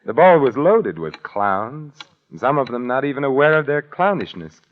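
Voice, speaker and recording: An actor playing a suave, witty, unflappable adventurer-sleuth in a 1940s radio detective drama, urbane, articulate and wryly teasing. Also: male voice, medium pitch, big pitch swings, moderate pace, lightly acted. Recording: dull vintage radio recording, faint music.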